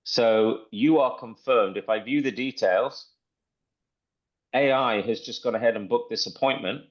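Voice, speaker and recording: A man giving a casual live software walkthrough as presenter, enthusiastic and often repeating himself, on muffled online call audio. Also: medium pitch, a little slow.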